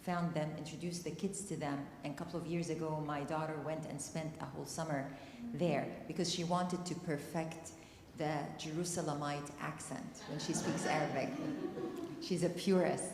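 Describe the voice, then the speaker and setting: A woman's voice: low voice, steady tone, normal speed, a little mellow. A woman from Palestine telling a personal story at a community discussion, emotional and close to tears. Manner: emotional, near tears, earnest. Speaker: panel speaker. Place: church hall. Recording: echoey hall, faint noise.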